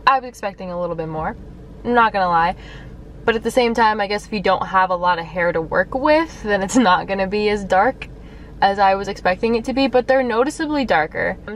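A young woman vlogging to a camera at arm's length from her parked car, chatty and animated. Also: mid-range voice, recorded from a distance, slight background noise. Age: young adult. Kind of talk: casual vlog chat. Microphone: camera held at arm's length.

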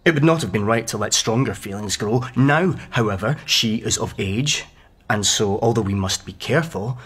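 An actor playing an insinuating, cultured young gentleman tutor in a radio drama, measured and scheming. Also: fairly low pitch, expressive, slightly rough. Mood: insinuating, confiding.

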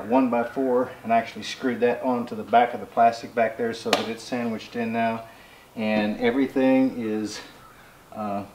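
A middle-aged man with a drawl talks through his building job in a relaxed, folksy way, pausing often with ums and uhs. His mid-pitched voice is caught by a camera mic across an unfinished cabin, so it sounds roomy and echoey, with a faint hiss behind. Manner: relaxed, folksy, talks while working with many fillers. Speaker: homesteading vlogger. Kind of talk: casual how-to narration while working. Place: unfinished cabin interior with plastic-sheeted walls. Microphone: camera mic from across the room.

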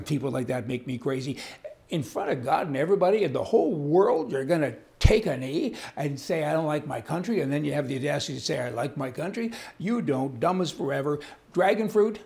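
Folksy gravelly older man. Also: on the high side, animated, brisk, a little mellow. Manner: folksy, indignant, rambling asides. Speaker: commentary host. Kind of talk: opinionated news commentary to camera. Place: indoor studio set with wood-panel wall.

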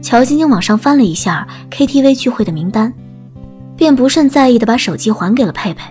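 A woman narrates a story in a scripted audiobook style. Her voice sits a little high. Soft music plays faintly behind her. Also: lively intonation, a little fast.

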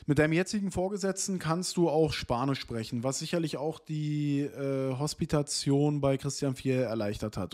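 A man's voice: mid-range voice, a little mellow. A man in his thirties reading an interview aloud on stream and chatting about it in a small room. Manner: reads text aloud, then comments in loose asides with fillers. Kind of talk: casual chat, reading an article aloud.

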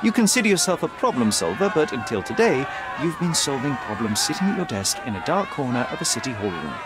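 An actor playing a self-assured young detective in a video game, articulate, polite but pointed and persuasive. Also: male voice, medium pitch, sing-song, fast talker, over a noisy crowd, heavy background noise, lightly acted. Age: young adult. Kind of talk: video game cutscene acting.

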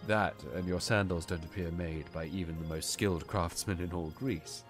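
A deep-voiced warrior king, acted by a male audiobook reader, solemn and gracious. He speaks formally and with weight, every phrase measured. Music plays underneath. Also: fairly even intonation, natural voice. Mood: wondering, gracious. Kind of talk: audiobook character dialogue.

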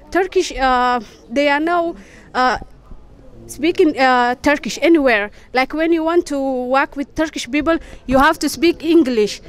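A young woman making a debate point into a handheld mic at an outdoor evening event, pausing often with fillers. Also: sits high. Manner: hesitant, many fillers. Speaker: debate participant. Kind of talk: debate speech.

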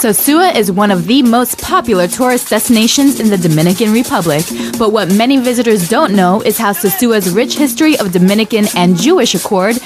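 A woman's voice: mid-range voice, expressive, fairly quick, few pauses. A woman reading scripted narration for a news feature. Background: music.

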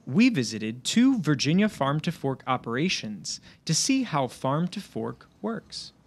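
A man narrating a farm news feature in a higher voice with a lively, swinging pitch. His reading is measured and scripted, like a broadcast voice-over.

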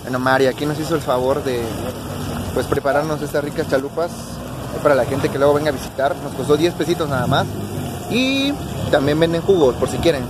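A man's voice: fairly high pitch, fairly quick. A man in his twenties with a Mexican accent, casually vlogging to camera as he hosts a food video. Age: 20s. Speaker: food vlog host. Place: outdoors on busy city streets. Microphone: camera held at arm's length. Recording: loud street traffic and crowd noise.

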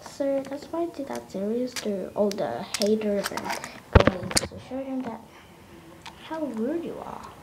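A woman's voice: fairly high pitch, animated, measured pace. A woman talking in a casual vlog, rambling with many fillers and restarts and often dropping into a whisper. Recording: noisy home phone recording.

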